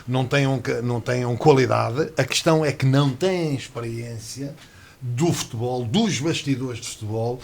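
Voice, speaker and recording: A man's voice: mid-range voice, moderate pace, creaky, a little mellow. Insistent radio pundit man. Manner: insistent, repeats himself for emphasis. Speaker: panel commentator. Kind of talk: radio sports panel chat.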